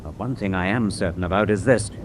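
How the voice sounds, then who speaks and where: Steady, matter-of-fact British seaman played by a man. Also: low-pitched, fast talker, noisy background, natural voice. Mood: explaining, sober. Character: steady, knowledgeable British merchant seaman held prisoner. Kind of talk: radio drama acting.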